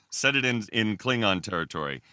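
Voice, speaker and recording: A man speaking as a podcast guest in casual fan discussion, haltingly thinking aloud. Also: fairly low pitch, expressive, sharp tone, dry recording, clean studio sound.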